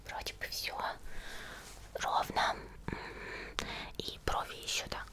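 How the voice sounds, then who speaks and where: A woman playing a friendly, attentive monster girl in a whispered ASMR roleplay, speaking gently and unhurriedly in a breathy murmur to the listener. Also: slow pace, natural voice. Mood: focused, attentive.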